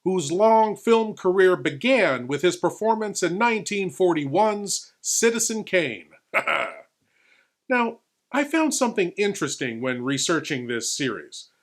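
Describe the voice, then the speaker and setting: A man's voice: high-pitched, animated, measured pace. A man hosting a classic horror television show, giving theatrical scripted commentary.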